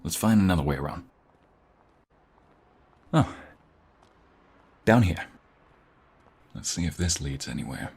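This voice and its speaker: A man playing a protective, flirtatious ex-mobster husband in an intimate ASMR roleplay, tender but menacing, with breathy murmurs and a teasing manner. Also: sing-song, takes it slowly, pauses often, a little mellow, exaggerated voice. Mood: urgent. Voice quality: deep, gravelly, often breathy murmur. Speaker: voice actor.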